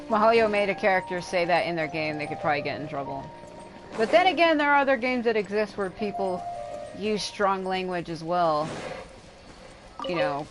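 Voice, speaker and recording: Lower-voiced woman streamer over game music. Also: rough recording. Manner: rambling, offhand, profane. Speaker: game livestreamer. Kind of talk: casual gameplay commentary. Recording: game music playing behind the voice.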